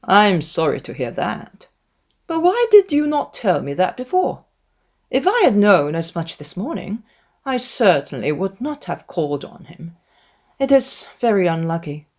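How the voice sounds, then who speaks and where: A woman's voice: medium pitch, sing-song, darkish tone. A dry-witted middle-aged father of a genteel household as a woman reader plays him. He speaks formally and with mock gravity. His intonation moves about freely as he teases. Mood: wry. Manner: measured, mock-solemn, formal. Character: wry, teasing middle-aged gentleman father.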